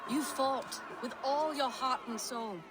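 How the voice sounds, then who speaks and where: A firm, tender bride-to-be. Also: female voice, sits high, takes it slowly, background noise. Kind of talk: video game cutscene acting.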